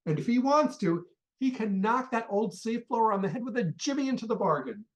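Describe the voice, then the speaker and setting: Radio comedy: blustering, irascible rich father, middle-aged male actor, indignant ranting, very animated intonation. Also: sits high, brisk. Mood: indignant, sarcastic. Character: irascible blustering wealthy father, obsessive collector. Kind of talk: comic radio play acting.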